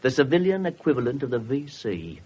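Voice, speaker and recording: An English actor playing a seasoned, loyal police sergeant with a dry, measured manner in a radio drama. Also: male voice, medium pitch, very animated, darkish tone, dull audio, a little hiss or hum, natural voice. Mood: dry, reproving. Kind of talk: 1970s radio drama acting.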